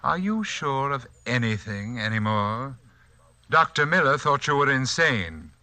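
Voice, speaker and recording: An actor playing a calm, polite, condescending and murderous hypnotist in 1940s radio suspense style. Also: male voice, medium pitch, unhurried, exaggerated voice. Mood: weary, taunting. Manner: soft-spoken, polite, composed, condescending.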